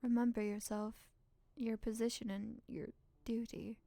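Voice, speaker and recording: A woman voicing a stern, commanding woman of the royal household in a visual novel, formal and measured. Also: fairly high pitch, little pitch movement, gravelly, dark tone, no echo. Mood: stern warning.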